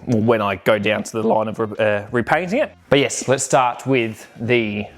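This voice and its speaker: A man's voice: lowish voice, normal speed. Rambling man in a garage. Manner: rambling, self-correcting, offhand. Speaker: car restoration vlogger. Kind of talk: casual talk while working on a car.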